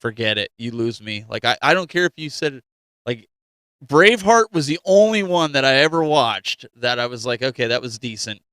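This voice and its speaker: A man hosting a casual chat show, often halting and repeating his phrases as he talks. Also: medium pitch, big pitch swings, fairly bright tone, clear, resonant tone, no echo. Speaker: host of a gaming news show.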